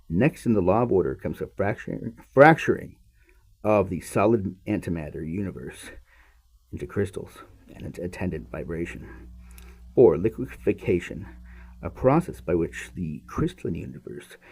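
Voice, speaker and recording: A man hosting a podcast, reading a science text aloud in an emphatic, halting way with repeated words and offhand muttered asides. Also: fairly low pitch, warm tone, breathy edge.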